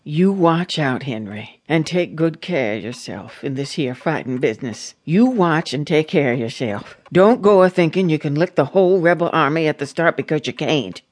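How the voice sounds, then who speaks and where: A woman's voice: low voice, expressive, normal speed, few pauses, a little mellow. An actress playing a devout, anxious middle-aged farm mother in a radio drama, with a rural American accent and an earnest, admonishing manner. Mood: anxious, tender. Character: plain-spoken, devout, anxious farm mother of a young soldier.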